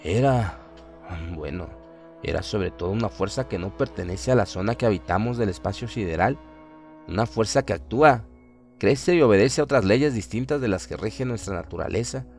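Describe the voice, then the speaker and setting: Un hombre que interpreta a un erudito grave que advierte con solemnidad y mesura sobre un mal cósmico, en una radionovela de terror. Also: male voice, fairly low pitch, lively intonation, darkish tone, soft background music. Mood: grave, warning. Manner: measured, solemn, emphatic. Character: grave learned man warning villagers of cosmic evil. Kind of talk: horror radio drama acting.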